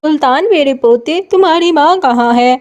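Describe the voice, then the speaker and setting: A woman acts an old village grandmother from a cartoon moral story. The voice is very high. She is doting and fussing, with an exclaiming manner. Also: normal speed, darkish tone, muffled recording, natural voice. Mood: affectionate.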